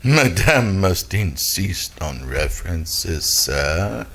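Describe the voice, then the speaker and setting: An actor playing a small, creepy manservant in an old radio detective drama, speaking with hesitant, stilted, obsequious courtesy. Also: male voice, sits low, very animated, very slow, creaky, exaggerated voice. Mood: stiffly polite. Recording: vintage radio recording with loud background noise.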